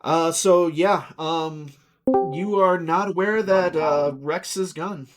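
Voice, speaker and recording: A man acting as dungeon master, narrating a tabletop game in a relaxed, halting way with many fillers. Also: fairly high pitch, over loud music.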